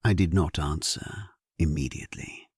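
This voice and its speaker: A man narrating a first-person historical sleep story in a solemn, measured, hushed, breathy voice, as a grave and resolute medieval king. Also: a bass voice, no echo, clean studio sound.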